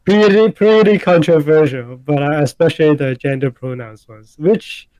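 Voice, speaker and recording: A man co-hosting a casual podcast chat, talking in halting bursts, repeating words and filling gaps with um and uh. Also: on the high side, a little mellow, no room sound, poor audio. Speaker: podcast co-host.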